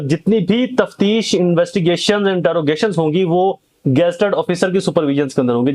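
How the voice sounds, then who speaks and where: Pakistani guy teaching step by step, voice a bit on the high side, drops english terms in. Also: darkish tone. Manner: code-switches between Urdu and English terms. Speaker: host of a legal education channel, lecturer. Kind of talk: explanatory legal lecture.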